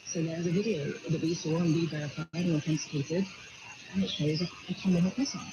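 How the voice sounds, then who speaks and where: A man's voice: a little high, steady tone, fast. A man working as a journalist, explaining evidence step by step in a news interview, often repeating words as he goes. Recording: dull shortwave radio with loud noise.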